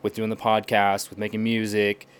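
Animated young man explaining language learning. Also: lowish voice, moderate pace, clean tone. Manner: animated, explanatory, acts out quotes. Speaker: podcast guest, language learner. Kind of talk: casual podcast chat.

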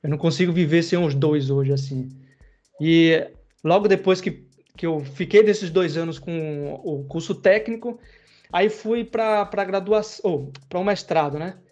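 A man talks in a relaxed, rambling way, full of slang, the way a podcast host chats. His voice sits a little high. The recording sounds dull and lo-fi. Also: a little slow. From Bahia, Brazil. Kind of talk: casual chat.

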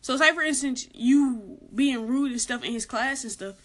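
A soft-spoken young woman with a light, girlish voice that sits a little high. She gives casual vlog advice in a chatty way and repeats her warnings for emphasis. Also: moderate pace. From Arkansas, United States. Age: late teens. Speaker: vlogger giving school advice.

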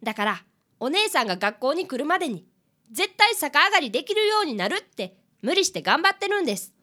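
Woman voicing bright, high-pitched earnest schoolboy detective. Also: sing-song, a little fast, exaggerated voice. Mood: earnest, serious. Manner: polite, formal reporting. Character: earnest fifth-grade boy, apprentice detective. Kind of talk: radio drama acting.

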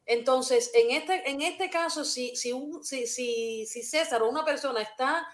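A woman's voice: high voice, measured pace. A woman hosting a talk show, speaking earnestly and personally, often restarting her sentences. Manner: earnest, hesitant, with frequent restarts.

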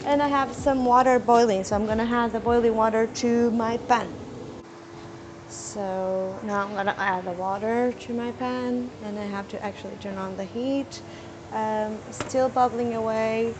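A young woman with a self-described squeaky voice chatting casually in her home kitchen while cooking, rambling with fillers and restarts and trying to talk slowly. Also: fairly even intonation, noisy background. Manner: deliberately talking slowly. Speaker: cooking vlogger.

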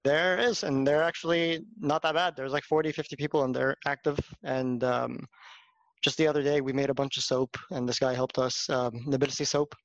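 A man's voice: medium pitch, fairly even intonation, fairly quick, no room sound. A man from California co-hosts a casual podcast, filling his sentences with "um" and "like" and laughing easily. His voice comes through dry and slightly muffled. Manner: hesitant, laughs easily, fillers "um" and "like". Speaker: podcast co-host.

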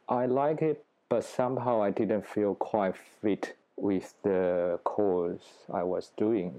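Casting note: Taiwanese-accented male researcher, forties, low mellow voice, thoughtful and plain. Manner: thoughtful, plain-spoken, with small grammar slips. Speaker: guest researcher. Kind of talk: interview answers.

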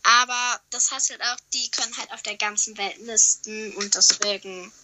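Hoarse woman guest, very bright edgy tone, halting delivery, faint hiss from a phone line. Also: a little high, fairly even intonation. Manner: hesitant, many fillers. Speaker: podcast guest. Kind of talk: casual chat. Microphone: phone call.

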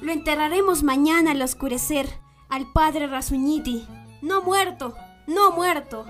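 A woman acts a devoted daughter from a village in a radio drama. Her voice sits fairly high and sounds earnest and fervent, with faint music underneath. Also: a little slow, a bit bright. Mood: proud, fervent.

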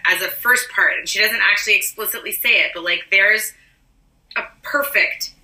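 A woman's voice: mid-range voice, normal speed, pushed and bright. A woman co-hosting a podcast, chatty and full of "like" and "you know". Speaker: podcast co-host. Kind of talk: casual podcast chat. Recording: roomy echo.

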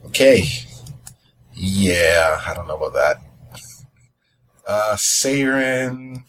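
A man's voice: sits low, expressive, very slow, a bit bright. A man chatting casually as a podcast host, musing hesitantly as he goes. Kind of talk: casual chat.

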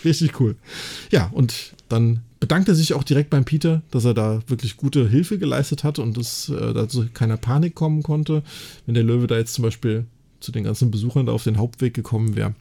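A man with a mid-pitched, mellow voice and a gravelly creak in it. He talks as a podcast co-host in a casual recap, chatty and enthusiastic, often checking for agreement with short tag questions. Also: a little fast. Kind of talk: casual episode recap and discussion.

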